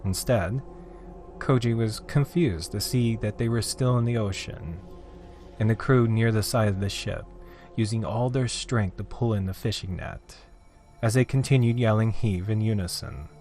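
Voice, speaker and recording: A man narrating a scripted horror story in a theatrical, deliberate, dramatic way, with drawn-out phrases. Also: fairly low pitch, darkish tone. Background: background music.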